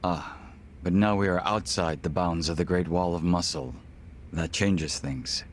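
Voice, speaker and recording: Smug formal gangster henchman in a game, really low voice, faint ambience behind. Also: fairly even intonation, measured pace, slight background noise. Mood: smooth, smug. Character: polite, coolly menacing male gangland henchman. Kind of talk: English video game dub acting.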